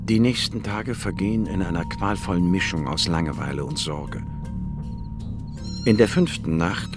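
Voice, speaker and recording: A man's voice: sits low, moderate pace, music in the background, natural voice. An actor playing a scientist who soberly narrates his own account in a radio drama. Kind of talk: scripted first-person radio drama narration.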